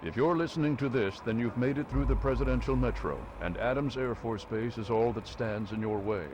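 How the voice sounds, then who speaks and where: A man plays a stern, composed military officer in a video game. His medium-pitched voice is measured and authoritative, delivering a formal briefing over faint background noise. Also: natural voice. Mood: resolute. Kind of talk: scripted mission briefing.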